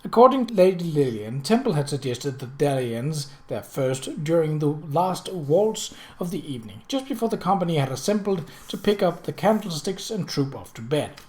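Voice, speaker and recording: A storyteller reads a period mystery novel in a formal, expressive way. The voice sits at a middle pitch, between low and high. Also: moderate pace, hardly any pauses. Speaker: audiobook reader.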